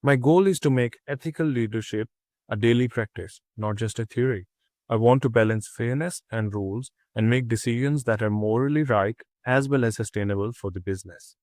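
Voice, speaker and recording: A man's voice: mid-range voice, no room sound, studio quality. Earnest young man reading a reflection. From Pakistan. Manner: earnest, measured, steady reading with small restarts. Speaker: student. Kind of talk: reading a prepared reflection presentation.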